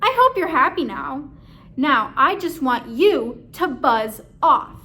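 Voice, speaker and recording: A woman's voice: high voice, lively intonation, takes it slowly, pushed and bright, full character voice. A woman voicing an exasperated, put-upon male alligator from a children's picture book, grumbling with emphasis.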